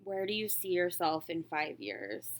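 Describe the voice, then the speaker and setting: A woman's voice: lowish voice, sing-song, unhurried. A woman in her late twenties chatting casually and candidly as a crafting vlogger, rambling a little as she answers questions about herself. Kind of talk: casual chat, answering questions while stitching.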